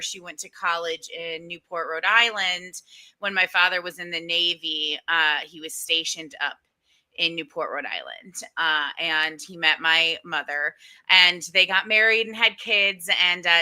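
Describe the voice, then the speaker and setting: A woman from Connecticut talks as a podcast guest, a lively storyteller who laughs often. Her voice is very bright and sharp, at an ordinary pitch. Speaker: tour business owner, guest. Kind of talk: casual interview.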